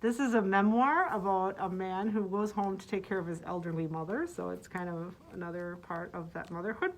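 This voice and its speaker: A woman's voice: medium pitch, brisk, poor audio. A woman librarian presenting book picks, reading summaries aloud with casual asides.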